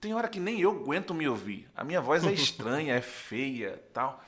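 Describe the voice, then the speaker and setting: A young man from Recife speaks with a northeastern Brazilian accent and a sharp, somewhat raised voice. He is a pastor telling his story in a conversational way, playing out the dialogue as he goes. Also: fairly high pitch, moderate pace. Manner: animated storyteller, acts out dialogue, often checks with "né". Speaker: pastor, podcast guest. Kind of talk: conversational personal testimony.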